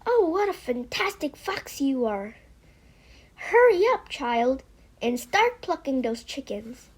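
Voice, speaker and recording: Storybook mother fox, woman performer: weak, hungry, doting, easily astonished; high, slow voice. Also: big pitch swings, full character voice. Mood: delighted, doting. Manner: murmuring, then exclaiming.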